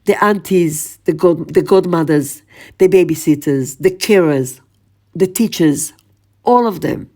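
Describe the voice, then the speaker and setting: Slow, husky-toned woman podcast host. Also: low-pitched, mellow. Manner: slow, measured, lingering on lists. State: voice strained, losing her voice. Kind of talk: reflective spoken podcast monologue.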